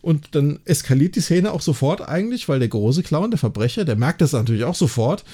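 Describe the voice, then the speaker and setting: A man's voice: fairly high pitch, lively intonation, a little fast, dark tone, breathy edge. A man with a German accent, co-hosting a podcast, casually retelling and analysing a story in a chatty way full of tag questions. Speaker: podcast host.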